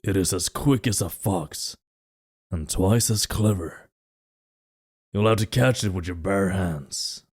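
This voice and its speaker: Fantasy audio drama king, played by a man: very low register, regal, solemn, formal and measured orders. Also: breathy edge, exaggerated voice. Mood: commanding. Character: commanding, solemn fantasy king of a mountain people.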